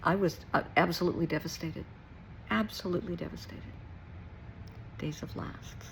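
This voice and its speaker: A woman's voice: on the low side, normal speed, gravelly, background noise. A middle-aged woman giving a reflective personal talk to listeners, earnest and emphatic, repeating short phrases for weight. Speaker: therapist and nurse.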